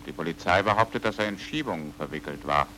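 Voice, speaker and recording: A man's voice: low voice, lively intonation, fast, sharp tone, slight background noise, full character voice. An actor playing a dogged, suspicious man investigating in a dubbed film noir, terse and insistent. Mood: suspicious, pressing.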